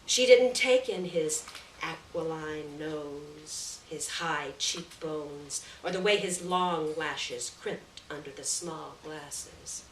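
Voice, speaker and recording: A woman reading a literary short story aloud in a measured, deliberate way, with pauses between phrases. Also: low-pitched. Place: roomy venue at a live reading. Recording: echoey room, distant mic, background noise.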